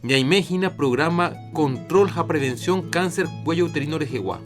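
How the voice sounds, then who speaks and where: Male radio announcer, Paraguayan accent, slightly high voice, scripted, soft guitar bed. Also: expressive, normal speed. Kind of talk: scripted health program narration in Jopara. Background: faint guitar music.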